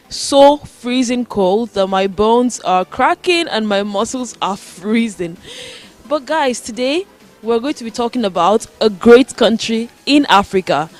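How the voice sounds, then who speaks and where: A woman hosting a vlog, speaking upbeat and straight to her viewers from an outdoor city square in winter. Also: a little high. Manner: upbeat, addresses viewers directly. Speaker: host. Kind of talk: vlog intro and street interviews.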